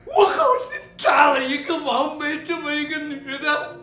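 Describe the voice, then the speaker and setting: A man's voice: very high-pitched, moderate pace, sharp tone. A man playing an anguished middle-aged prisoner in a film drama, crying as he pleads his innocence. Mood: anguished, tearful. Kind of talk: emotional screen drama acting. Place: bare prison interview room. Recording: echoey room with music behind.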